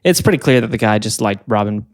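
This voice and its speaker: A man co-hosting a podcast, telling a true story in casual chat, halting and full of fillers and restarts. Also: fairly low pitch, lively intonation, no room sound, studio quality. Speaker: podcast co-host.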